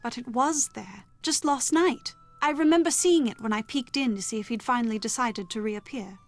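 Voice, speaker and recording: A woman playing proper bride-to-be, higher voice. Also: normal speed, faint music behind, close to an everyday voice. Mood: insistent, worried. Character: well-bred young bride-to-be, heiress to a castle. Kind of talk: video game voice acting.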